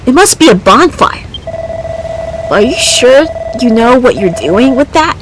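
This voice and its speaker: A woman's voice: a little high, animated, measured pace, noisy background. A woman voicing a brash, blunt adventure game companion in a casual, bossy, teasing manner. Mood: suspicious. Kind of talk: video game character voicing.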